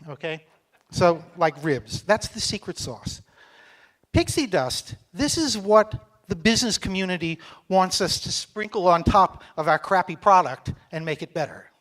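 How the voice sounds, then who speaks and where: American man, middle-aged, fairly high voice, joking conference speaker, chatty, slow and clear. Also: a bit bright. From Texas, United States. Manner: joking, chatty presenter talking slowly to a foreign audience. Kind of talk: conference talk. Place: conference hall.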